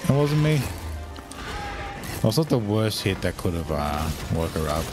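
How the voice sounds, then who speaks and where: A young Australian man swearing freely and reacting loudly as he chats while streaming a game. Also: low-pitched, very animated, normal speed, music in the background. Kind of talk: casual live game commentary.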